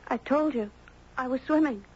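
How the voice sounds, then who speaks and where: A woman playing an earnest, wistful young girl with halting, sincere speech in a 1970s radio drama. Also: sits high, a little mellow, a little hiss or hum, lightly acted. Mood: insistent. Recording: dull 1970s radio broadcast.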